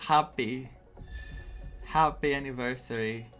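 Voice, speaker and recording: A young man with a Greek accent streaming a game, chatting excitedly, laughing often and reading quest text aloud. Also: mid-range voice, slow pace, soft background music.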